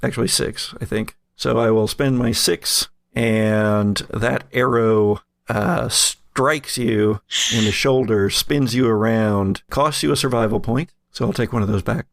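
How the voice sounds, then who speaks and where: A man's voice: lowish voice, measured pace, creaky, few pauses, no room sound, studio quality. A man acting as game master, narrating a tabletop role-playing game and chatting casually with players.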